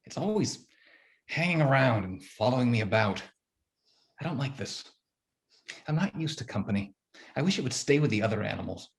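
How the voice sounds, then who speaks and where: A man's voice: medium pitch, expressive, normal speed, creaky, long pauses. A man playing Adam, a peevish first man who wants to be left alone, reading his diary in a complaining way over a video call. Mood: grumpy. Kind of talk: stage reading of a comic play.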